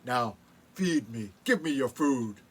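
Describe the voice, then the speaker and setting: A man voicing Raven, a hungry, boastful trickster spirit, speaking slow, low and demanding. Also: lively intonation, darkish tone, husky.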